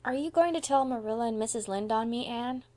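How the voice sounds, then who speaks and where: A woman's voice: fairly high pitch, brisk, darkish tone, voice pushed up, natural voice. A woman voicing a small mischievous boy in an audiobook, earnest, guilty and blunt, with childish, emphatic grammar slips. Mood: curious, blunt. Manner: childish ungrammatical speech, emphatic. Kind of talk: audiobook character voice.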